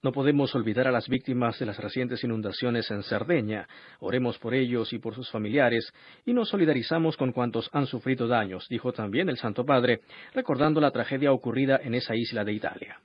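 A man reporting on the radio in a clear, formal scripted style. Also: mid-range voice, fairly quick, no echo, muffled recording.

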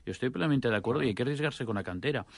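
A man's voice: medium pitch, lively intonation, very fast, no echo, studio quality. A man arguing casually as a sports radio pundit, often interjecting. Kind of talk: casual debate.

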